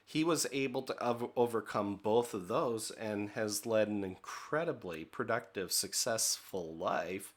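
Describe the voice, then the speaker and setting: A middle-aged man from the United States giving a relaxed training lecture on leadership, talking conversationally with filler words and checking in with "okay?". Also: lowish voice, a little slow, hardly any pauses.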